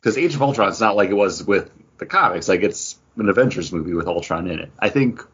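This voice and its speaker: A man's voice: sits low, expressive, a little fast, fairly bright tone, breathy edge, dull audio. A man co-hosting a podcast, chatting casually about comic books in a rambling, conversational way. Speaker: podcast co-host. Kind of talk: casual comic book review chat.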